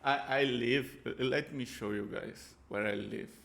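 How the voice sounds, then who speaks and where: A Brazilian man with a Brazilian Portuguese accent, a native Portuguese speaker who talks in casual reaction commentary, halting and repeating words as he searches for English. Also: medium pitch, creaky, fairly bright tone. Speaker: reaction video host.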